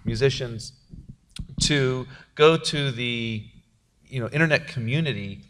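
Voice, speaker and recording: A man's voice: mid-range voice, measured pace, a bit bright, in a large hall. A man, a filmmaker, talking at a panel after a film premiere in a jokey, rambling way with many fillers. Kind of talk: post-premiere panel talk.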